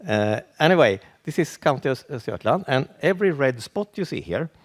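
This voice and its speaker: A Swedish man with a Swedish accent, giving a conference presentation in English as a second language, halting and full of restarts. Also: medium pitch, big pitch swings. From Sweden. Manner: halting, with frequent restarts and fillers. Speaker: conference speaker.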